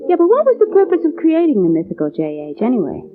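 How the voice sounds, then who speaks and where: Lively, teasing radio girlfriend. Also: high voice, fast talker, slight background noise. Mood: playful. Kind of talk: 1950s radio drama acting. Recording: thin, narrowband old radio recording.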